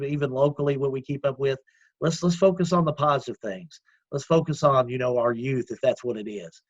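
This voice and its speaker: A man with a loud voice, an executive director talking earnestly in a casual interview, with many fillers and restarts. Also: on the high side, no room sound, muffled recording. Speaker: community action executive director. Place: at home, remote call.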